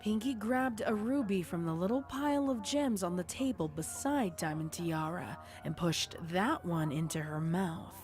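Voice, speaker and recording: A woman's voice: on the low side, expressive, normal speed, hardly any pauses, darkish tone, soft background music. A woman narrator reading a scripted story with dramatic storytelling delivery.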